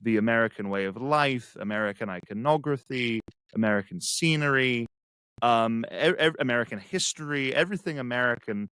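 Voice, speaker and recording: A man from England with an English accent, a guest speaking reflectively and haltingly with many restarts. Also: medium pitch, fairly bright tone, smooth, no echo, low-quality recording. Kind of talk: casual conversation.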